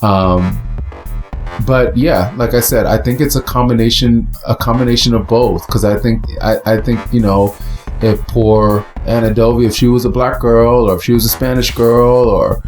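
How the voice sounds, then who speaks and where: A man's voice: medium pitch, steady tone, moderate pace, darkish tone, faint music behind. A man from the United States giving casual, rambling commentary with many fillers and restarts. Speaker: host.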